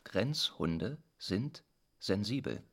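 An actor playing a solemn, pompous squat commander who makes halting speeches in a radio drama. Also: male voice, fairly low pitch, lively intonation, heavily acted. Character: solemn, pompous squat commander praising his guard dogs. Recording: meeting crowd behind.

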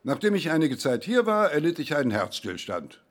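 A man's voice: fairly high pitch, sing-song, a little fast. An actor playing a hopelessly ill older man in a radio play, reporting matter-of-factly on his own fate. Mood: resigned, factual. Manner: factual, report-like.

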